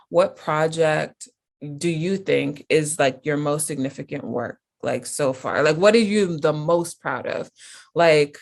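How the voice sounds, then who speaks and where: An American woman hosting a casual interview, rambling questions filled with "like" and "um". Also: deep voice. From United States. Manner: rambling, heavy use of "like". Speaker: interviewer host.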